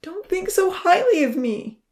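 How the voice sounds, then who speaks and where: A woman voicing an anguished young male burn survivor, humble and guilt-ridden, halting with a breathy, choked voice. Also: very high voice, very animated, a little mellow, pitched above the performer's own voice, full character voice. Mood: grieving, sobbing. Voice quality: breathy, choked voice breaking into sobs. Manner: halting, pleading, confessional.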